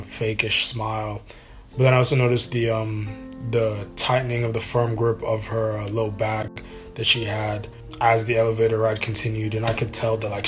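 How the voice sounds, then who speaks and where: A young man from Nigeria who tells his story thoughtfully, with pauses and fillers. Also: on the low side. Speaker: interviewee. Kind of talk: personal testimony to camera. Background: guitar music.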